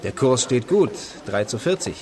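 Actor as theatrical wheeler-dealer amid a bustling crowd. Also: male voice, mid-range voice, big pitch swings, moderate pace, close to an everyday voice. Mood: wry, brisk. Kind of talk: German radio play dialogue. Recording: busy urban crowd behind the voice.

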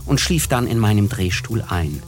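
An actor playing a reflective young writer who narrates his memories in a radio drama, in a measured way. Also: fairly low pitch, very animated, faint music behind. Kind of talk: literary first-person radio drama narration.

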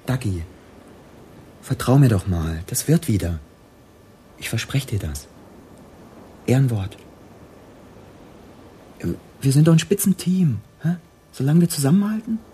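A man's voice: mid-range voice, expressive, unhurried, pauses often, warm tone, a little hiss or hum, lightly acted. A man playing a menacing, volatile armed robber on the run in a radio drama, with a crude, sneering, slangy way of talking. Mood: agitated, pleading.

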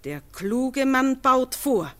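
A resolute farmer's wife. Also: female voice, high-pitched, expressive, takes it slowly, a bit bright, exaggerated voice. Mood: persuasive. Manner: earnest, persuasive verse delivery. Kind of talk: classic radio drama verse acting.

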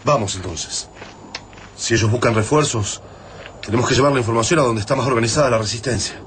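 An actor with an Argentine accent playing a decisive, commanding resistance fighter in a radio drama. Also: male voice, mid-range voice, fast talker, a bit bright, lightly acted. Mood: urgent, resolute. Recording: clearly noisy background ambience.

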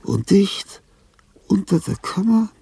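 An actor playing a lonely, dying old composer in a radio drama, tender and confused, with a husky, halting delivery that swings between whispers and cries. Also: male voice, high voice, sing-song, dark tone, no echo, full character voice. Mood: solemn, murmuring. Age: older. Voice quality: gravelly, husky, breathy. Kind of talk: German radio drama acting.

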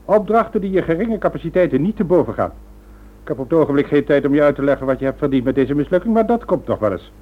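Domineering crime boss, phone-thin sound. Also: male voice, a little high, very animated, fast talker. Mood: scornful, threatening. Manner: contemptuous, commanding, mock-polite threats. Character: domineering, menacing male crime boss with a knighthood. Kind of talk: radio drama acting. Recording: narrow, phone-like old radio recording.

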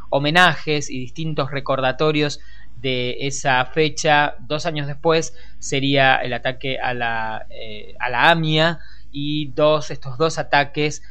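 An Argentine man with a Rioplatense accent hosting a conversational radio news show, improvising with frequent hesitant pauses. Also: a little high, steady tone, pushed and bright, clear, resonant tone. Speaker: radio host.

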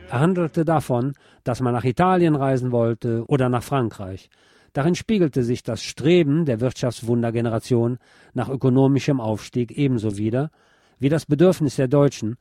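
Male narrator, mellow and dry-recorded, measured formal delivery of a written essay. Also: mid-range voice, dry recording. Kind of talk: scripted spoken essay on pop history, read aloud.

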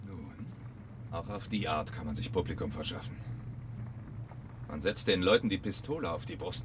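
An actor playing a taunting, theatrical and menacing fire chief in a German radio play from 1970. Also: male voice, fairly low pitch, fairly bright tone, slight background noise. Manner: mocking, needling, theatrical.

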